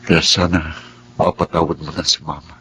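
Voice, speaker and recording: An actor playing an imploring, solemn estranged husband in a radio drama, with a Filipino accent. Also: male voice, rumbling low, flat delivery, moderate pace, sharp tone, slight background noise. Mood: pleading. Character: pleading estranged husband, worried for his ailing mother.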